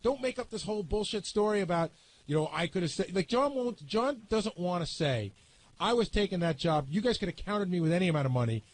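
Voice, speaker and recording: A man's voice: high voice, animated, brisk, a little hiss or hum, low-quality recording. A man in a heated, casual radio panel discussion, arguing bluntly and with some profanity. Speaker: radio show staff panelist.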